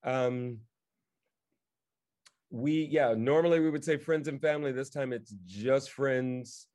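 A man chatting casually in a halting, wry way, as if thinking aloud while he talks. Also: medium pitch, a little mellow. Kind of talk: casual chat. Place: remote video call.

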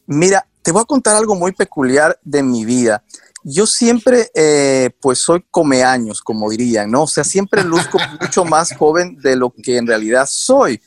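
A man in his forties from Quito with an Ecuadorian accent, a guest telling his story in a casual interview, animated and often laughing. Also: fairly high pitch, a little slow, no room sound. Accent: Quito Ecuadorian Spanish. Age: 40s.

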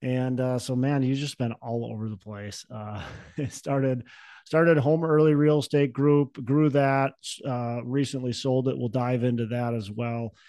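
A man's voice: medium pitch, steady tone, unhurried, mellow, no echo, clean studio sound. A man hosting an interview, introducing his guest in an upbeat way with frequent fillers. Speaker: podcast host.

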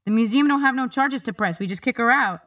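A woman reading a novel aloud plays a heavyset male museum guard, pitched higher and louder than her narration. He is blunt and informal, speaking plain street talk. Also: fast talker. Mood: gruff, impatient.

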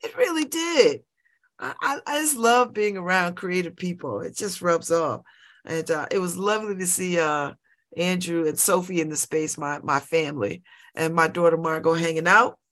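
A woman's voice: lowish voice, moderate pace, a bit bright. A woman in her late fifties hosting a morning radio show, chatting casually and exuberantly, laughing often and repeating herself as she talks. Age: 50s. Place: radio studio.

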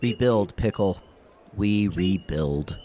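A man's voice: deep voice, lively intonation, slow pace, mellow. A man voicing a laid-back, wry rabbit hero in a comic radio play, offhand and droll. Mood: wry, casual.

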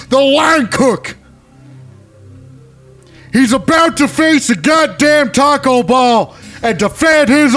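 A man's voice: very high voice, a bit bright. A man voicing a manic, swearing wrestling radio host who announces fighters with bluster. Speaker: show host.

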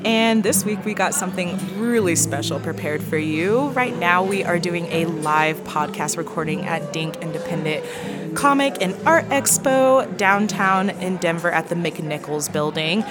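A bubbly woman from Colorado hosts a live podcast and chats casually with plenty of fillers and giggles. Her voice sits a little low. Loud music plays behind her through the recording. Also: a little slow. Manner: upbeat, giggly, lots of fillers. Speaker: podcast host. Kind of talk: casual interview chat. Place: expo hall at a live recording.

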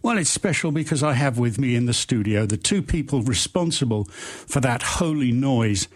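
A man hosting a radio show in a studio, enthusiastic and a little halting, with fillers and restarts. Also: mid-range voice, animated. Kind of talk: radio interview. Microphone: studio microphone.

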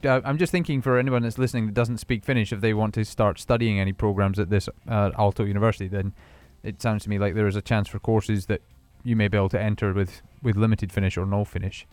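A man's voice: lowish voice, fairly even intonation, fast, few pauses, a little mellow, dry recording. A man hosting a casual radio interview, rambling and restarting his questions as he talks. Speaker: radio host.

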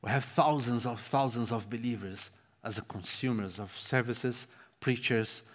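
A man whose first language is Portuguese, preaching a sermon with passion, swinging between loud stresses and hushed lines and repeating words for emphasis. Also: mid-range voice, measured pace. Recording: long reverb of a large hall.

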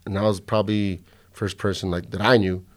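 A man's voice: deep voice, little pitch movement, a little fast. A middle-aged man from the American Southwest hosting a podcast, talking loosely and profanely in casual chat, a little drunk and hungover. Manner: loose, profane, rambling storytelling. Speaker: podcast host.

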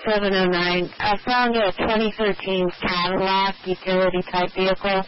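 Dull police radio, clear static, dispatcher, medium pitch, records report. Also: level tone, normal speed. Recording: muffled, noisy police radio channel.

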